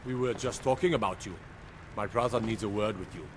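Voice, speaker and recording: An actor playing a proud, gruff Nord warrior who speaks forcefully in a fantasy video game. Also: male voice, lowish voice, fairly quick, noisy background, natural voice. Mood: awed.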